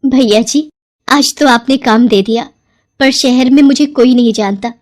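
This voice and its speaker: A woman performs a poor young village mother for a cartoon story. The voice is very high and humble, with a polite, pleading way of speaking. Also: unhurried. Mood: polite, earnest.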